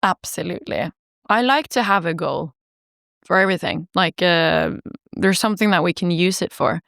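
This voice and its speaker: A singer-songwriter woman from Norway, chatting casually in an interview, laughing easily and filling pauses with ums and likes. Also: fairly low pitch, creaky, a bit bright, dry recording, clean studio sound. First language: Norwegian.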